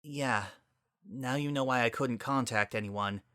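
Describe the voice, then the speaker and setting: A bright-toned, weary young mare from a cartoon pony story, acted out by a man, guarded and wry as she speaks. The sound is dry and close. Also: medium pitch, measured pace, clear, resonant tone, dry recording. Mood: wry, tired.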